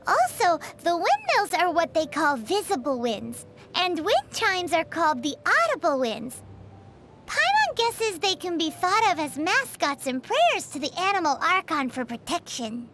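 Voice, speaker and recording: A woman voicing a tiny, perky, childlike fairy companion in a video game, with a squeaky voice. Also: wildly swooping pitch, a little slow, a bit bright, a little hiss or hum, exaggerated voice. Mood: chirpy, explaining. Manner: perky, refers to self in third person. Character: tiny childlike female floating fairy companion, chirpy and food-loving. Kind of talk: scripted video game dialogue.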